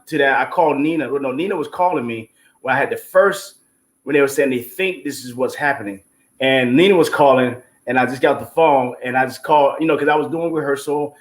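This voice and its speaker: A man, a stage play producer appearing as a guest, giving an informal, emphatic, faith-filled testimony. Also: medium pitch. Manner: informal, emphatic, devout, punctuated with 'you know what I'm saying'. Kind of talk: testimonial talk on an online show.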